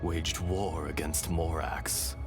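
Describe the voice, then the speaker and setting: Old dragon spirit in a human body, deep n slow, solemn, mournful game voice, music behind. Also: male voice, level tone. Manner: measured, grave, dramatic pauses. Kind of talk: video game dramatic storytelling.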